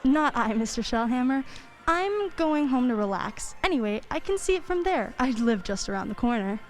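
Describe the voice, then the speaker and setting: A woman's voice: sits high, animated, normal speed. A woman acting a practical, dry-mannered working mother and store executive in a student radio play.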